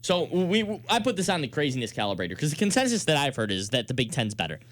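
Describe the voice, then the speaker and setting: A man's voice: a little high, sing-song, fast, a bit bright. An American man hosting a sports radio show, debating emphatically and laying out his case point by point.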